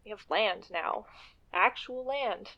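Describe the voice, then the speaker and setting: A woman's voice: medium pitch, takes it slowly, bright, forceful tone, breathy edge, exaggerated voice. A woman playing an outspoken, ambitious female activist leader in a naturalistic radio play, on a dull recording. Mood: weary, exasperated.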